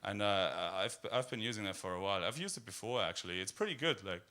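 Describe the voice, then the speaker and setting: A man with an Austrian accent doing a stand-up set in a deadpan, darkly humorous way, full of fillers and hesitations. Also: on the low side, fast talker. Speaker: stand-up comedian. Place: comedy club stage.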